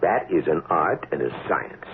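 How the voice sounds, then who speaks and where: Shrewd political handler, extremely low voice, drily flattering, confident, tinny old radio recording. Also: male voice, normal speed, slight background noise. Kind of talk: radio drama acting.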